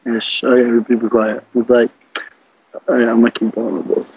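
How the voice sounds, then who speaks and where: A man's voice: medium pitch, little pitch movement, normal speed, creaky, breathy edge, no echo. A young man chats casually on an internet group call. He talks in slangy, muttered asides, with dry and slightly dull computer-mic sound. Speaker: prank caller.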